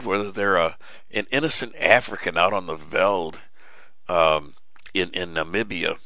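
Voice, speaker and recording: A man's voice: fairly low pitch, sharp tone, no echo. A middle-aged man hosting a radio show, giving a folksy, preachy, rambling monologue full of fillers and restarts, his voice starting to give way. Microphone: studio mic with cough button.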